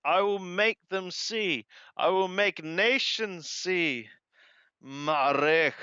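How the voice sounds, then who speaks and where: A man reading scripture aloud and translating it phrase by phrase, halting and deliberate, correcting his wording as he goes. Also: on the high side, pushed and bright, smooth, dry recording. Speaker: amateur Bible reader and translator. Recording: dull lo-fi close recording.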